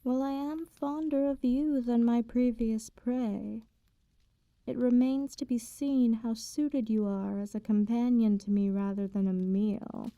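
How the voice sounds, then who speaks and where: Woman playing a slow, velvety, dark-toned vampire lady. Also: on the high side, steady tone, no room sound, dull audio. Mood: tender, menacing. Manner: formal archaic diction, unhurried, teasing. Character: seductive immortal vampire woman, condescendingly tender, quietly menacing predator. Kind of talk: slow ASMR roleplay, intimate bedtime storytelling.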